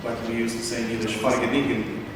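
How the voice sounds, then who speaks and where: A man, a cantor giving a heartfelt, grateful, slightly halting acceptance speech. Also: mid-range voice, little pitch movement. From Argentina. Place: large synagogue hall. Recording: echoey hall from far off, noisy.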